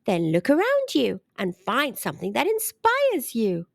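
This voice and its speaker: Woman voicing a gentle storybook granny, lower-toned. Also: huge pitch swings, measured pace, vocal fry, dry recording, studio quality, exaggerated voice. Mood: encouraging. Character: gentle, encouraging elderly grandmother.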